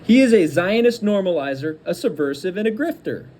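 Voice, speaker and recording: A man's voice: high-pitched, animated, a little fast, a little mellow, slight background noise. A man giving angry, combative political commentary, hurling insults and hammering points through repetition. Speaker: host of a political commentary show.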